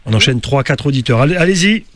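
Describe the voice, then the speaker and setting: A man hosting a call-in radio debate, lively and prompting callers quickly. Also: medium pitch. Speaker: radio host. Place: radio studio.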